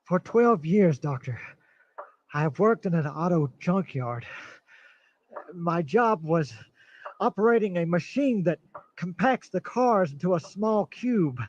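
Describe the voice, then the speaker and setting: An actor playing a nervous, paranoid middle-aged man who stammers and breaks into shrill outbursts, in an old-time radio drama style. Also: expressive, unhurried, pauses often, a little mellow, a little hiss or hum. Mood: gloating. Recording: dull lo-fi recording.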